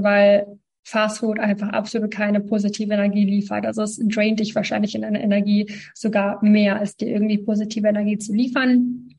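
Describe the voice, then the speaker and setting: A woman in her twenties from Ukraine, telling her story as a guest in a relaxed interview, talking with lots of fillers and small laughs. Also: mid-range voice. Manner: chatty, many fillers, laughs between sentences. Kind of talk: casual interview talk.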